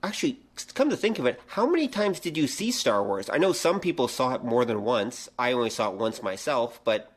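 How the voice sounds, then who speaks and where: A man's voice: mid-range voice, big pitch swings, fairly quick, few pauses. A middle-aged man co-hosting a podcast, chatting casually as he asks questions and comments. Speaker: podcast co-host. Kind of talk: casual conversation, asking and commenting.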